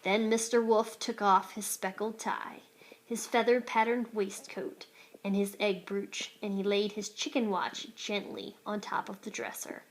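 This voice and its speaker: A woman's voice: mid-range voice, steady tone, a little slow, rough recording. A woman reading a children's storybook aloud expressively, like a teacher.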